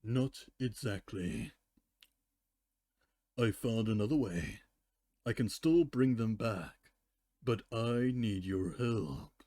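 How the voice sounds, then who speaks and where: An ancient, sorrowful pony mare, as a man reads her in a storybook style. The delivery is slow and deliberate, solemn and pleading, in a close, dry recording. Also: on the low side, animated, mellow, no room sound. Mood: weary pleading. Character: weary, pleading immortal female pony, aged and sorrowful. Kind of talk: dramatic fan fiction reading.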